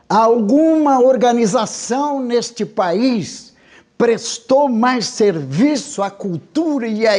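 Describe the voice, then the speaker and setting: A man's voice: very high-pitched, darkish tone. A man giving an emphatic documentary interview, asking rhetorical questions.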